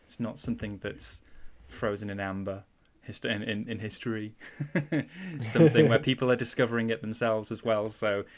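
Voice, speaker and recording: A man with a Mancunian accent hosting a film podcast in casual chat, relaxed and enthusiastic, laughing easily. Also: fairly low pitch, normal speed, crowd noise. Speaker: podcast host. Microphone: headset.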